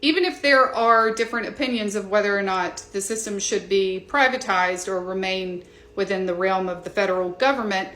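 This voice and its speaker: A woman with an American accent presenting explanatory commentary in a measured, informative way. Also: medium pitch, a bit bright. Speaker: presenter of an aviation discussion. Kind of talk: explanatory scripted commentary to viewers. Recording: echoey, reverberant room.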